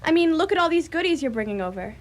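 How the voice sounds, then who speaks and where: A woman's voice: sits high, big pitch swings, fast. A woman playing a polite, chatty girlfriend in a radio comedy. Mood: friendly, chatty. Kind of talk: live radio comedy drama acting.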